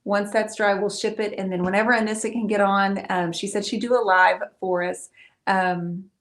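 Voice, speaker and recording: A middle-aged woman hosting a live painting stream, chatting casually and warmly with viewers, with frequent "um"s. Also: medium pitch, fairly even intonation, normal speed. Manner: chatty, friendly, talks to viewers with many fillers.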